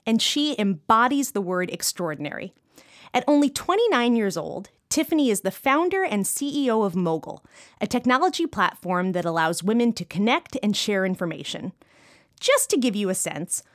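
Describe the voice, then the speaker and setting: A woman's voice: mid-range voice, no echo, studio quality. A young woman in her twenties hosting an interview, admiring and enthusiastic in her introduction and questions. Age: 20s. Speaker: interview host.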